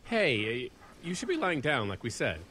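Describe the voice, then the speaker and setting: An actor playing a nervous but practical boatman in a horror audio drama, halting and stammering under pressure. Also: medium pitch, huge pitch swings, sharp tone. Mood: concerned. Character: nervous but practical man steering a boat.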